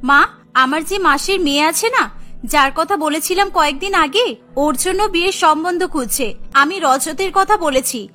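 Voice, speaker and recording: A woman's voice: high-pitched, normal speed, pushed and bright, soft background music. A woman voicing a meek, caring young daughter-in-law and mother in a cartoon, earnest and pleading. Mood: hopeful. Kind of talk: animated moral-tale cartoon acting.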